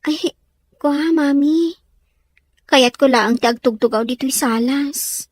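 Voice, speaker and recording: A woman's voice: high voice, slow, no room sound. A woman with an Ilocano Filipino accent playing a troubled, defiant teenage daughter in an audio soap drama. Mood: uneasy, evasive.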